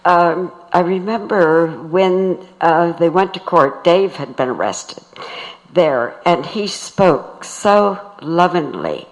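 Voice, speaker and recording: Activist sharing a personal tribute: unhurried, halting, reflective, mid-pitched delivery. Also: poor audio. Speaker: activist speaker giving a tribute. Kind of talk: personal reminiscence at a tribute event.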